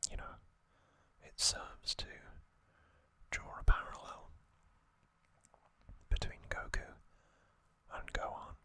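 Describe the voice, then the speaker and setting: An ASMR host speaking commentary in a breathy, unvoiced whisper, slowly and deliberately in short phrases with pauses. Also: bright, forceful tone.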